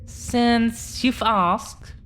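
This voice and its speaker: A woman playing an affectionate, proud dragon-blooded girlfriend in an intimate audio roleplay, talking in a teasing way with long pauses. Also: fairly high pitch, fairly even intonation, fairly bright tone. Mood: proud, bragging. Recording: clear background noise.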